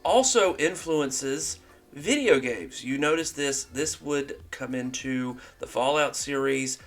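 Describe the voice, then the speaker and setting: A man's voice: mid-range voice, slow. A man hosting a music reaction channel, talking casually to viewers in a rambling way and repeating points for emphasis.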